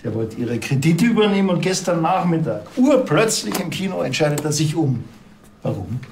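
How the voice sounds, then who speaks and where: Blunt, grumpy higher-pitched police detective. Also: male voice, very animated, moderate pace, darkish tone, slight background noise. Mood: probing. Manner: curt, impatient.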